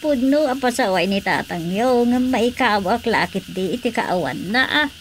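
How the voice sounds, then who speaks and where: A woman's voice: a little high, lively intonation, moderate pace, slight background noise, close to an everyday voice. A woman with an Ilocano Filipino accent, acting a fretful older mother in a radio comedy drama. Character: fretful older mother, fussing over her stubborn husband.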